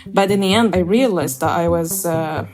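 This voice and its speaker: A young woman giving a casual personal account in an interview, hesitant and halting with fillers. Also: lowish voice, over music.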